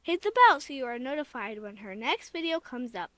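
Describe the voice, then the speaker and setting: A woman's voice: high voice, very animated, brisk, fairly bright tone, a little hiss or hum. A female speaker delivering a short scripted sign-off message for a channel. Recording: music behind the voice. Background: music.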